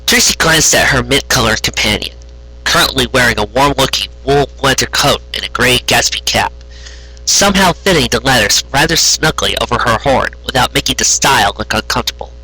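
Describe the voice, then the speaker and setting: Guy reading a story out loud, really sharp bright voice, kinda raspy. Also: mid-range voice, expressive, a little fast, low-quality recording. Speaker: narrator reading a story aloud. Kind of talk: scripted fan fiction narration.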